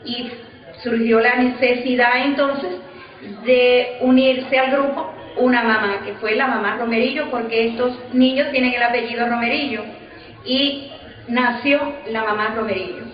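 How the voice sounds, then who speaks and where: A woman's voice: on the high side, steady tone, a little slow. A woman recounting memories of a radio drama group in an interview style. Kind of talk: interview recollection for a documentary. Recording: roomy, muffled sound, murmur behind.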